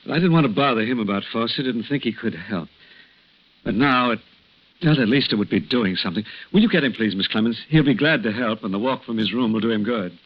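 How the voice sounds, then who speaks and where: An actor playing a worried, earnest doctor in a 1940s radio drama. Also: male voice, mid-range voice, animated, a little fast, natural voice. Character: worried, earnest male doctor puzzling over a patient. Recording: muffled vintage radio recording.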